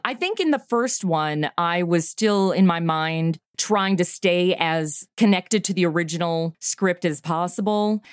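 Enthusiastic woman in her forties, low voice. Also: clear, resonant tone, dry recording, studio quality. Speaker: podcast creator and guest. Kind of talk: casual interview chat.

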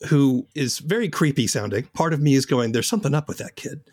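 A man's voice: medium pitch, animated, a little fast, darkish tone, husky, no room sound, clean studio sound. A man hosting a casual interview. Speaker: interview host.

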